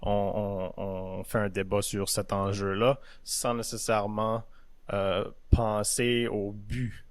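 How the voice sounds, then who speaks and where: A man's voice: on the low side, flat delivery, clear, resonant tone, no echo. A young man hosting a podcast, talking casually with frequent hesitations. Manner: halting, full of hesitations. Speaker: podcast host.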